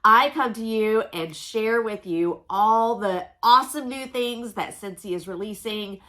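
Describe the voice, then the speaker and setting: A woman's voice: fairly high pitch, a little slow, sharp tone. A chatty woman hosts a home unboxing vlog, sounding congested from allergies and talking in an upbeat, folksy way. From Oklahoma, USA. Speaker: direct-sales consultant and channel host. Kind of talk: casual product unboxing vlog. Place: home room with a noisy air conditioner.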